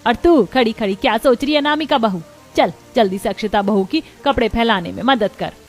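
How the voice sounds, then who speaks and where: A woman's voice: fairly high pitch, expressive, fairly quick, soft background music. A woman playing a scolding, stubborn older mother-in-law in a cartoon story, bossy and nagging.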